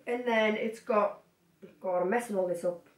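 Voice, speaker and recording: A young woman sitting on her living room floor, chatting excitedly to a camera set some distance away and rambling off into asides. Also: mid-range voice, moderate pace. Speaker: vlogger. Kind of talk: casual haul chat to camera. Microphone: far camera. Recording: echoey room, mic at a distance.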